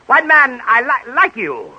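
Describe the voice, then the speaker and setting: A hard-driving male film director from a 1930s radio comedy. His voice is high and animated, barking emphatic orders with impatient force. The vintage recording sounds muffled. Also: a little slow, breathy edge, full character voice. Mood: coaching, impatient.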